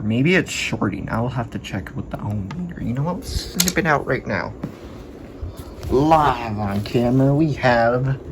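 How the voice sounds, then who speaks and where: A man mutters his way through a hands-on repair, musing aloud in a casual, sweary way. His voice is quite creaky and he talks slowly, with clear noise behind him on a homemade video. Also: medium pitch. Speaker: hobbyist radio repairer filming his work.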